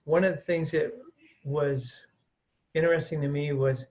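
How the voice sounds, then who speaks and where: A man's voice: fairly high pitch, fairly even intonation, unhurried, darkish tone. A middle-aged man from the United States, talking in an earnest, chatty way with lots of "uh" and "um" as an interview guest. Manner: earnest, chatty, with many "uh" and "um" fillers. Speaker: interviewee, missions mobilizer. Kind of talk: relaxed conversational interview.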